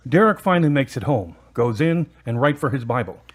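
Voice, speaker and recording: A man's voice: mid-range voice, very animated, brisk. A man narrating a scripted story as the narrator of an audio drama.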